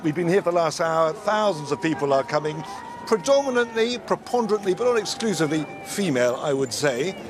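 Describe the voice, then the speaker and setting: A male correspondent giving a live news report outdoors in a city street, fluent and unhurried, sometimes searching for words. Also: a little high, lively intonation. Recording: loud crowd behind. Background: crowd of fans.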